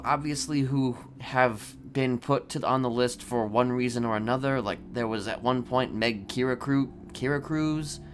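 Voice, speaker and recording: A man playing a calmly boastful, evasive serial killer in improvised crime roleplay, rambling and hesitant as he confides. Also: medium pitch, a little hiss or hum, natural voice. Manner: halting, rambling, hesitant delivery.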